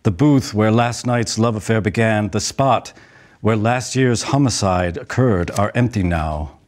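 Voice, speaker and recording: A man performing a poetic dramatic monologue as a stage actor, in a measured, pensive, theatrical way with deliberate pauses. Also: fairly low pitch.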